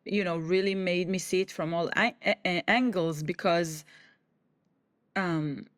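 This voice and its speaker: A woman's voice: lowish voice, steady tone, no room sound. Israeli comedian lady in an interview, stops and restarts a lot, dry close sound. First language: Hebrew. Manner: halting, many restarts, acts out quoted voices. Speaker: guest comedian. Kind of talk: conversational interview.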